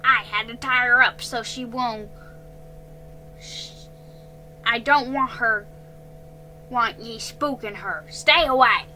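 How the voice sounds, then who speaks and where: A woman voicing a gruff, bad-tempered older male tanner who growls and shouts threats. She pushes her voice loud and hard for him. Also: fairly high pitch, slow pace, long pauses, slight background noise, full character voice, rough recording. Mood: growling.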